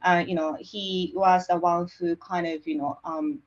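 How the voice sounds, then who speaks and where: A woman from Japan with a Japanese accent, a guest in an interview, speaking from her home office in a halting way with many fillers and restarts. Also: on the low side, fairly even intonation. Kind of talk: conversational interview. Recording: dull lo-fi audio.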